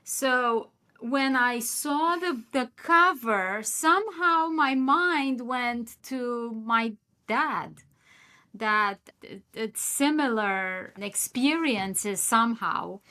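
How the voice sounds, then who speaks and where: A woman speaks slowly in a high, bright voice. She hosts a podcast conversation and thinks aloud in long, reflective sentences. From Romania. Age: 50s. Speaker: podcast host. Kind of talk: casual interview chat.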